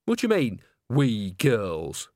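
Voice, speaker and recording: A man's voice: mid-range voice, huge pitch swings, slow pace, a little mellow, dry recording, studio quality. A man voicing an earnest, stubborn young woman in a storybook, with an insistent, pleading manner. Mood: indignant.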